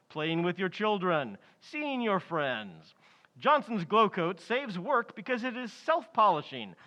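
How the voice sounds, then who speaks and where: An upbeat old-time radio announcer, played by a man, selling emphatically. His voice is high, bright and animated. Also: lo-fi sound, heavily acted. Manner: emphatic, salesmanlike. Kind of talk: 1940s radio advertising read.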